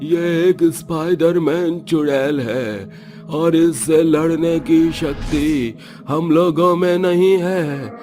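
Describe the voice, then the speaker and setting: A man's voice: a little high, a little mellow, faint music behind, voice pushed up. A man voicing a frail, fearful old villager in a cartoon story, drawing his words out in warning. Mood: grave warning. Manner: slow, drawn-out, coughing delivery.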